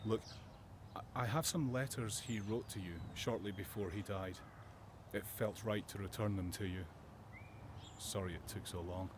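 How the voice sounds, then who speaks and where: Low, sombre British soldier, played by a man. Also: fairly even intonation, normal speed, background noise, close to an everyday voice. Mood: gentle, respectful. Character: wounded British First World War soldier, weary and sombre. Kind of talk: student radio drama acting and reflective narration.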